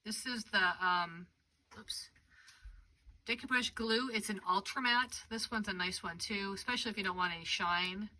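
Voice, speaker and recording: A woman's voice: medium pitch, normal speed, pushed and bright. A congested woman chatting and thinking aloud as she demonstrates a craft project live. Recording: distant mic with background noise.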